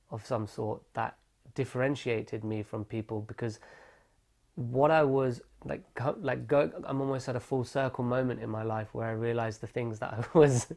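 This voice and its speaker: A young man from England, telling his life story in an oral history interview. He speaks thoughtfully, often restarting mid-phrase as he reflects, and there is a slight gravelly fry at the ends of his phrases. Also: mid-range voice, a little mellow. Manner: thoughtful, self-correcting, reflective.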